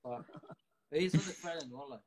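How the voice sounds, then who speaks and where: A man's voice: a little high, fast talker, slight background noise. A man on a live stream chatting casually between rap turns, his voice a bit off from just waking up. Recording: loud hip hop music behind.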